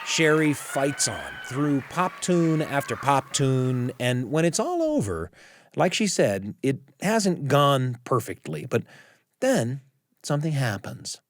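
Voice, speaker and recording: A man narrating a scripted story as a host, using dramatic pauses to build suspense. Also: medium pitch, sing-song, dry recording.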